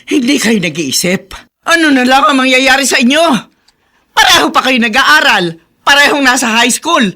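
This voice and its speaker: A middle-aged mother in a radio drama, sharp-tongued and furious. Her high, piercing voice leaps up and down as she scolds. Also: expressive, moderate pace, full character voice. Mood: angry, scolding. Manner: scolding, emphatic.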